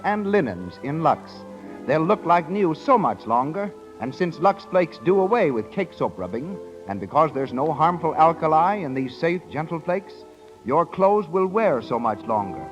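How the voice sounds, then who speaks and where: Male American radio announcer, high-pitched, formal declamatory delivery, dull vintage broadcast, music. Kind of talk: 1930s radio announcing and ad copy.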